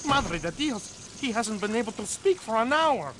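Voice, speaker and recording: A squeamish accomplice in a video game. His pitch moves a lot as he objects, appalled, amid clearly audible background noise. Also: sing-song, moderate pace. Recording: noisy game audio with ambience.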